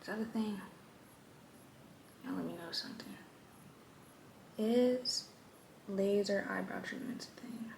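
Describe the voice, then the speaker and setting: A woman's voice: mid-range voice, slow, vocal fry, long pauses, a little mellow, slight background noise. A young woman vlogger chatting playfully through her everyday makeup routine at her vanity, with joking asides. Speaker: lifestyle vlogger. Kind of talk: casual chatty makeup tutorial.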